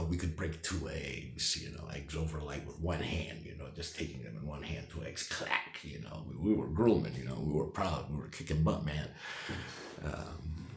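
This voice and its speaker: A man's voice: very deep voice, echoey room. An older man telling his own life story candidly, rambling with many fillers and restarts. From United States.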